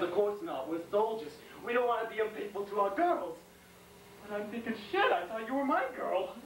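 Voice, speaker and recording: A man playing a shaken young American World War II soldier in a dramatic stage monologue, speaking in plain, halting, intense colloquial speech. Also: very high voice, a bit bright. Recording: echoey room, distant mic, faint noise.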